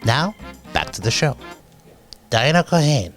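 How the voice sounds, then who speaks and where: A man's voice: medium pitch, sing-song, a little slow, soft background music. Friendly man hosting a local show. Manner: friendly, conversational, with some stumbles. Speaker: host of a local town program. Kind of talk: local cable interview and announcements.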